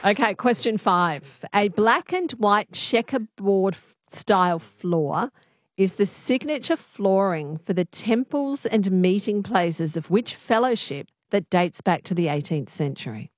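An Australian woman hosts a talkback radio quiz. Her voice sits at an ordinary pitch in a dry, close studio sound. She is chatty and encouraging as she talks. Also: animated, measured pace, no room sound.

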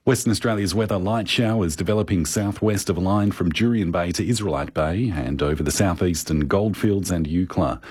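A man reads a news bulletin and weather report in a steady, formal manner. His voice is very low with a husky edge. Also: fairly quick, few pauses. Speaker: newsreader.

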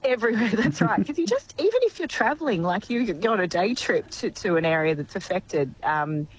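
An Australian woman answers as an expert guest in a radio interview. Her voice sits at a middling pitch and is a little croaky. She explains practical veterinary advice. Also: sing-song, brisk, poor audio. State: slightly croaky voice.

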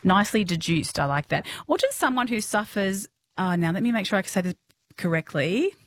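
A woman's voice: medium pitch, lively intonation, fairly quick, no room sound, a faint crowd. A woman hosting a phone-in radio quiz, chatty and encouraging, often laughing. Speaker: radio host.

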